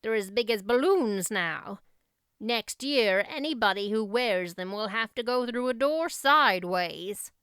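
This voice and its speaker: A stern middle-aged spinster, as a woman narrator plays her, stiff and thrifty. Her clear voice scolds drily in a close, echo-free storybook recording. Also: fairly high pitch, lively intonation, unhurried, fairly bright tone. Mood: scolding, exasperated.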